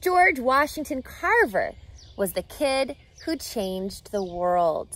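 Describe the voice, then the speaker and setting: A woman in her thirties reading a children's book aloud outdoors, with an expressive, teacherly delivery that emphasises key words. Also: on the high side, takes it slowly. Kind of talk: animated children's picture-book read-aloud. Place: outdoors, grassy lakeside yard. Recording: outdoor ambient background noise.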